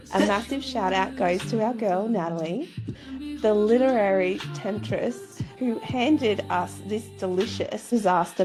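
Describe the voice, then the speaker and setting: A woman presents a book club show with playful, theatrical delivery. Her pitch sits mid-range, and music runs beneath her voice. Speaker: book club podcast host. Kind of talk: scripted, playful show introduction and chat.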